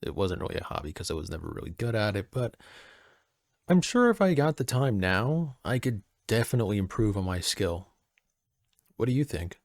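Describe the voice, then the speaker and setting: A man playing a friendly, easygoing personal assistant at a manor in an audio roleplay, soft-spoken, casual and a little hesitant. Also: lowish voice, very animated, moderate pace, dry recording, clean studio sound. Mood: friendly, self-deprecating.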